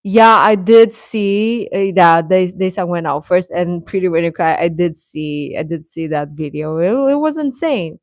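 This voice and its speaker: A woman hosting a casual livestream, chatting and commenting in a hesitant, halting way. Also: fairly low pitch, no echo, clean studio sound. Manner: hesitant, halting, chuckles often while talking. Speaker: livestream host reading viewer comments.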